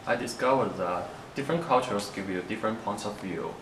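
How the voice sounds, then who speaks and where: Chinese guy, lowish voice, reading his lines from across a boomy room. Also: brisk. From China. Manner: recites short prepared statements. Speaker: international student. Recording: echoey room from far off, noisy.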